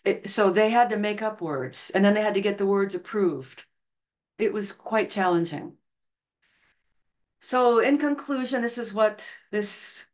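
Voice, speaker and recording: A middle-aged woman giving an online lecture over slides on a video call, talking earnestly and telling stories. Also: mid-range voice. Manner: earnest, storytelling, reads quotes aloud. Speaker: education researcher presenting a talk. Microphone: video call webcam.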